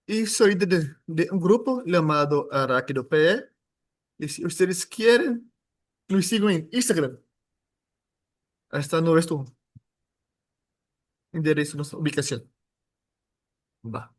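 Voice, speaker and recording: A man with a Brazilian Portuguese accent lectures at a seminar, loose and jokey, tripping over words and restarting. His voice sits a little high for a man. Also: animated. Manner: informal, joking, halting with restarts. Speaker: guest lecturer. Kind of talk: academic seminar talk.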